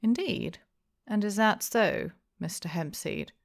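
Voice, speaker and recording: A woman voicing a courteous gentleman stranger in an audiobook, quiet and polished, with gently mocking politeness. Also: mid-range voice, expressive, slow pace, vocal fry, voice pushed up. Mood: quietly mocking.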